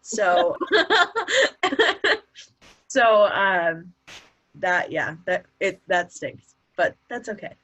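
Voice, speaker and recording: A woman with a husky, lowish voice chats casually on a video call. She speaks slowly, with pitch that swings about in a lively way. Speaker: stage performer. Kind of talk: casual chat.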